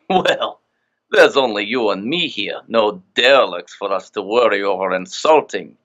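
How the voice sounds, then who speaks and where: A man's voice: fairly low pitch, expressive, a little slow, fairly bright tone. Man acting as breezy callous official, smug, dismissive, audio drama, muffled recording.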